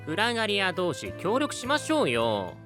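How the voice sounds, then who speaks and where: Playful, polite, animated young man. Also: sits high, moderate pace, over music. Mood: teasing. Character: polite, playful young man, proudly teasing senior disciple. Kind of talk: anime voice drama acting.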